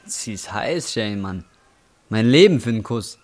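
An actor playing a broke, bitter twenty-five-year-old roofer in a German radio drama, weary, slangy and plain-spoken. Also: male voice, on the low side, lively intonation, a little slow. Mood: defiant. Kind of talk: German radio drama acting, dialogue and inner monologue. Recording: music under the voice. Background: music.

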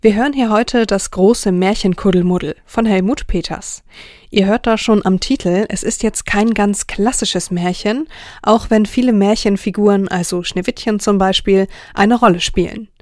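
A woman's voice: medium pitch, normal speed, a little mellow. A woman with a mid-range voice introduces a programme for children. Her delivery is friendly and scripted, addressed straight to young listeners. The studio sound is dry, with no room echo. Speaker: children's radio host.

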